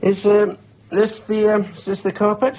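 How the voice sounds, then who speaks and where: A man's voice: sits high, completely flat delivery, moderate pace, lightly acted. Hesitant, polite Scottish man, played by an actor. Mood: wary, businesslike. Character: polite, flustered male insurance assessor from Scotland. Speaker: insurance claims assessor. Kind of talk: naturalistic radio drama acting. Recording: muffled, noisy off-air radio recording.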